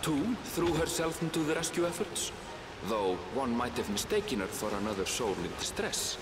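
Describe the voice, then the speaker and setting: An actor playing a reflective young male scholar in a video game, narrating a voyage in measured, literary phrasing. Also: mid-range voice, sing-song, in a large hall, background noise, close to an everyday voice. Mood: reflective, solemn. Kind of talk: scripted dramatic narration.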